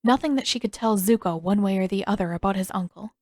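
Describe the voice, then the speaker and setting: A woman narrates a piece of written fiction as a storyteller. Her voice is medium in pitch, and the recording is dry with no room sound. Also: fast talker, a little mellow, dry recording. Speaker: podfic narrator and author.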